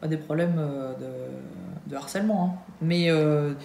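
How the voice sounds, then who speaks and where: Une femme française, la trentaine, qui parle de son travail en vivant aux États-Unis, avec beaucoup d'hésitations et de faux départs. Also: female voice, low-pitched, measured pace, creaky, dark tone. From France. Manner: hesitant, many fillers and restarts. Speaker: Nike employee in the US. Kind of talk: casual vlog chat. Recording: echoey room with faint hum.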